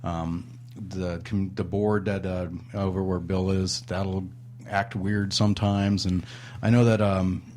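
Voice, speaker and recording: A man's voice: deep voice, level tone, slow pace, a little mellow, slight background noise. A man hosting a radio talk show from a studio in a historic house, rambling with lots of fillers and a sarcastic streak. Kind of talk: casual radio chat.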